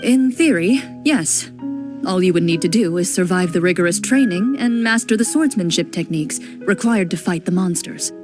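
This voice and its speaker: A young woman playing a composed, serious female duelist, speaking in a calm, measured way as she explains history in game dialogue. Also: lowish voice, natural voice. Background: game music.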